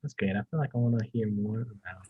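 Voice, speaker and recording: A man hosting an interview, relaxed and encouraging, often answering with short murmurs. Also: fairly low pitch, fast talker, breathy edge, no room sound. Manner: relaxed, encouraging, frequent mm-hmms. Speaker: interviewer host. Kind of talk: casual interview. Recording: dull, dry, lo-fi call audio.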